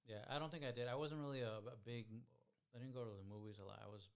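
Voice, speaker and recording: A young man with a raspy voice co-hosting a casual podcast chat, halting with many fillers and restarts. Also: mid-range voice, fairly even intonation. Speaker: podcast co-host.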